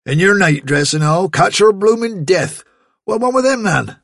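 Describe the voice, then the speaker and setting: Young country farm girl, voiced by a man, high and pleading, husky edge, dry close sound. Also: a little slow, a bit bright, no room sound, heavily acted. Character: young farm girl, stubborn and tender-hearted.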